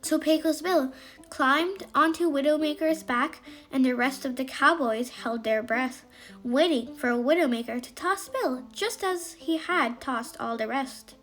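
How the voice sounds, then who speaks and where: A woman narrating a scripted children's bedtime story in an expressive, emphatic way. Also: sits high, a little slow, soft background music.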